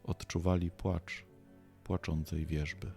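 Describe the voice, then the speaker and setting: A man's voice: deep voice, little pitch movement, takes it slowly, vocal fry, warm tone, breathy edge, faint music behind. A man reading scripted storybook narration as the narrator of a radio play.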